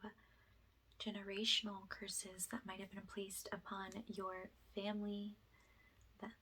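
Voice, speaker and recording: A woman leads a spiritual ASMR clearing in a hushed voice that drifts between whisper and soft speech. Her delivery is very even and unvarying, with repetitive phrases and pauses. Clear background noise sits behind her. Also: medium pitch, low-quality recording. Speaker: ASMR energy healer, host.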